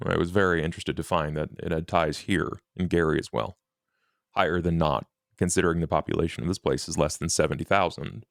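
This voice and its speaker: Deep, gravelly, deliberate man. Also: steady tone, no echo, clean studio sound. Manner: formal, deliberate, businesslike. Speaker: player in a tabletop role-playing game. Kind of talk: in-character improvised dialogue.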